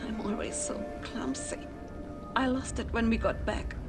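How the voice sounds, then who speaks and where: An actress playing a gentle, bereaved woman in a video game, soft, apologetic and self-reproaching. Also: a little high, fairly even intonation, measured pace. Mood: sad, wistful. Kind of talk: video game cutscene acting. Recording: loud music behind.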